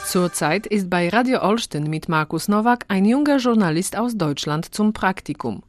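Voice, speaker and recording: A woman presenting a radio program, reading scripted links in a clear, even way. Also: medium pitch, moderate pace, faint music behind.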